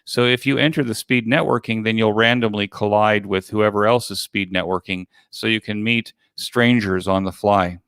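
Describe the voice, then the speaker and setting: A man gives a conversational walkthrough as the guest in an interview. His voice is on the low side and very clean and smooth. He explains things step by step, and the sound is dry and close. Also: fairly even intonation, normal speed, hardly any pauses, a bit bright, no echo. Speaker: interview guest, online event organizer.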